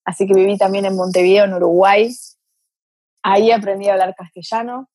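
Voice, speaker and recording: A woman. She rambles through her answers with fillers in a middle-pitched voice. The sound is dry and close, with no room echo. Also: little pitch movement, fairly quick, warm tone. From Brazil. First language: Portuguese. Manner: chatty, thinks aloud with fillers. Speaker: guest, mentor to mothers. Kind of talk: casual interview chat.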